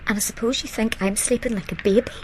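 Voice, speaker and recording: A woman's voice: fairly high pitch, steady tone, fast talker, background noise, close to an everyday voice. A woman acting in a radio play as an anguished, protective young woman, pleading and defensive under strain. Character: anguished, protective young woman caring for her dying partner.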